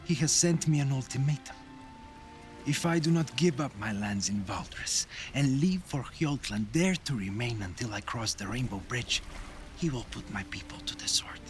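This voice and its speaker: A man playing an embattled Viking jarl in a game, an earnest and measured ally with a coarse tongue. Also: medium pitch, animated, natural voice. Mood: aggrieved. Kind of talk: cinematic game dialogue. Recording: studio voice over game music.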